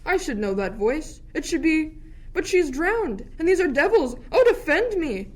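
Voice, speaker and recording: A woman's voice: high voice, very animated, a little slow. A woman playing a jittery, inquisitive shipwrecked jester in a theatrical Shakespearean radio play. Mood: frightened. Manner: theatrical, musing in archaic prose.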